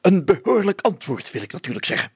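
A man voicing a short, fat, pompous village mayor, gruff, stammering and suspicious, congested with hay fever, for a storybook. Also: high voice, big pitch swings, fast, no room sound, voice pushed up, full character voice. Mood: indignant. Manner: gruff, stammering, self-important.

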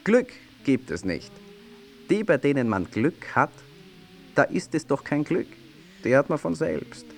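An actor playing a lovesick, melancholy gentleman poet of old Vienna, deliberate and pleading in a literary monologue. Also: on the high side, very animated, creaky, soft background music, full character voice. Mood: resigned, insistent. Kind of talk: literary audio miniature, dramatic monologue.

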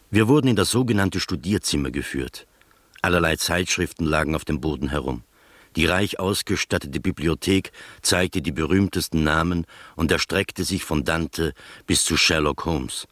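A man playing a composed gentleman narrator who recounts his own adventure in scripted, literary radio-drama narration. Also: deep voice, dry recording, studio quality. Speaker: first-person narrator of a radio play.

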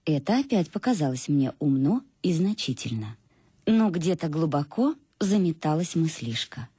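An actress playing a reflective, embittered middle-aged wife in a radio play, confessing with irony to her absent husband in a husky voice. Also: low voice, big pitch swings, normal speed, warm tone, no room sound, studio quality, heavily acted. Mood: wry, agitated. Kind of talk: dramatic first-person monologue in a radio play. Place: dry studio.

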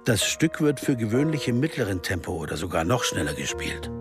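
A brooding musician turned sleuth in a radio crime play. His voice sits fairly low, reflective and a little hesitant, with music clearly underneath. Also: sing-song, fairly quick. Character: worried, brooding male jazz pianist turned amateur sleuth. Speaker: actor playing the narrator and lead. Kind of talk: German crime radio drama, narration and dialogue.

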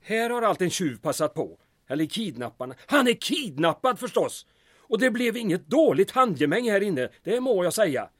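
A man's voice: high-pitched, very animated. A man acting a pompous, officious middle-aged mayor in an audio drama, talking in long-winded bureaucratic phrases. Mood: flustered.

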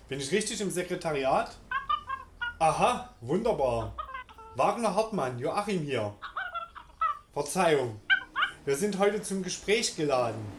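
A man playing an irritable, blustering middle-aged father in a German audio drama, grumbling and scolding indignantly. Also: high-pitched. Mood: insistent, indignant. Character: irritable, blustering father home from night shift. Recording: roomy sound with clear background noise.

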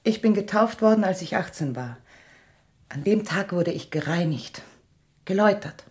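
A woman's voice: mid-range voice, animated, normal speed, darkish tone, lightly acted. An actress playing a middle-aged mother and former refugee, controlled, evasive and firm, in a radio drama. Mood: firm, proud. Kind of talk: dramatic radio play dialogue.

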